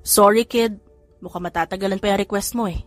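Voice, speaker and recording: A woman voicing a flirtatious grown man and doting father, speaking in a lowered, playful voice. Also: fairly even intonation, fairly quick, no room sound, soft background music, lightly acted. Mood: teasing.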